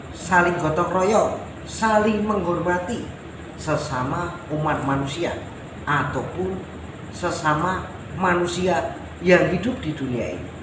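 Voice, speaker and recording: Casting: Javanese-accented man, fairly high voice, emphatic folk orator speaking to his viewers, noisy amateur recording. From Java, Indonesia. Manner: oratorical, emphatic, repetitive phrasing. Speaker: self-styled folk personality addressing viewers. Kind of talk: patriotic monologue to camera. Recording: roomy echo with clear background noise.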